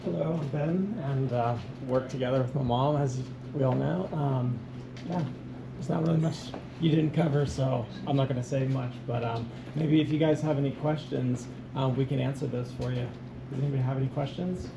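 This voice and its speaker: A man, a hairstylist, speaking briefly to students in a low-key, hesitant way. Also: steady tone, moderate pace, creaky. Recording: echoey and noisy, from far off.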